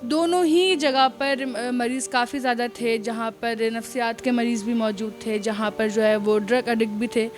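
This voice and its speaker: A woman's voice: on the high side, fairly even intonation, normal speed, hardly any pauses. A woman with a Pakistani accent hosting an on-location interview, asking wordy questions sprinkled with some English words. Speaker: interviewer and host. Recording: music laid under the voice.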